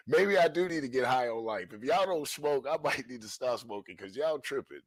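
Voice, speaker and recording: A man's voice: medium pitch, lively intonation, moderate pace, dry recording. A man hosting a livestream in casual street slang. He stresses his points and often repeats phrases as he talks. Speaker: livestream host. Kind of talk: casual talk-show monologue.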